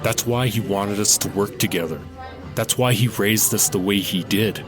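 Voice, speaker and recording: A man's voice: lowish voice, normal speed, music in the background, close to an everyday voice. A man playing Otto, a reproachful young man. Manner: earnest and reproachful. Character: Otto, young man breaking from his family. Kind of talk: audio drama.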